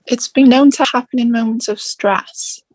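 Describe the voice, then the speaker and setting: A woman playing a calm, protective male centaur mentor in a theatrical table read, speaking in a measured, formal way. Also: on the high side, natural voice. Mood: measured, reasoning.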